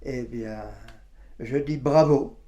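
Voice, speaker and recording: A man in his nineties reminiscing in an interview, halting and colloquial, often repeating his phrases. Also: medium pitch, warm tone. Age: 90s. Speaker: interviewee, war witness.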